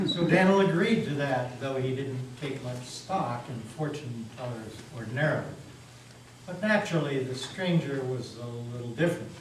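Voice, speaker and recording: A man giving a deliberate, folksy dramatic story reading in a meeting house hall. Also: mid-range voice, animated. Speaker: reader in a staged dramatic reading. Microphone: distant microphone. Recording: roomy live hall, distant mic, faint noise.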